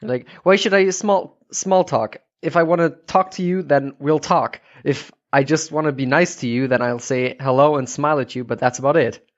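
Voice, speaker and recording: A man's voice: fairly high pitch, normal speed, few pauses. Dull lo-fi recording, man interviewing. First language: German. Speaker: podcast host, interviewer. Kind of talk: casual interview chat. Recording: dull lo-fi audio.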